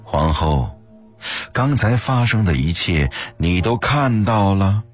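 A man's voice: low voice, expressive, slow, slightly rough, faint music behind, exaggerated voice. A man voicing a stern, imperious old grand dowager empress in an audio story, curt and commanding. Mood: solemn, stern.

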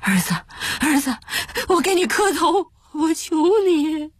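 A woman playing a desperate, high-wailing addict father. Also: sing-song, slow, no echo, heavily acted. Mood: pleading. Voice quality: hoarse, strained. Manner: wailing, pleading, shouting. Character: desperate opium-addicted father begging and cursing in withdrawal.

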